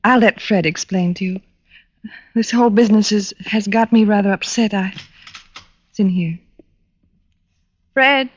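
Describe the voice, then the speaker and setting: A poised, troubled society wife, played by a woman in a vintage radio mystery. She speaks courteously in a refined, medium-pitched voice on a muffled old recording. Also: unhurried, long pauses, natural voice. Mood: worried. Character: poised, polite, troubled wife of wealthy man. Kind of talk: old-time radio drama acting.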